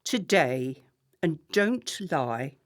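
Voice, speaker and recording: A woman's voice: low voice, big pitch swings, drawn-out words, full character voice. A woman playing a contemptuous, sarcastic middle-class wife in a radio play, with a cutting, theatrical delivery. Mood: acidic mockery.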